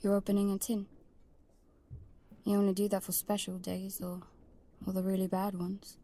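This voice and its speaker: An actress playing an earnest, frustrated daughter in an audio drama, wanting to be included. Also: female voice, mid-range voice, monotone, moderate pace, warm tone, a little hiss or hum, natural voice. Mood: worried.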